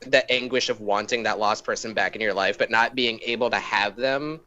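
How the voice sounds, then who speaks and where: A man's voice: fairly low pitch, fairly quick, pushed and bright, lo-fi sound. A man co-hosting a podcast in casual, slangy, irreverent chat with some swearing. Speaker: podcast co-host.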